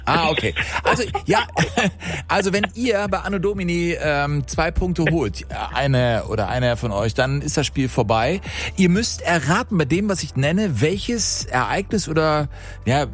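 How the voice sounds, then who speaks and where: A man hosting a live radio phone-in quiz in a chatty, animated manner. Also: on the high side, measured pace, faint music behind. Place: radio studio.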